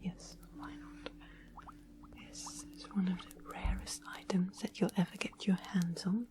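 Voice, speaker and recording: A woman's voice: fairly low pitch, lightly acted. Whispering, sly sweet witch, acted by a woman. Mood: solemn, confiding. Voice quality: breathy, hushed whisper. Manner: soft, deliberate, coaxing. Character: female royal witch, sweet, sly, bargaining, medieval fantasy. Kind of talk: whispered ASMR fantasy roleplay. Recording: steady ambient noise and music behind. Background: soft music and ambience.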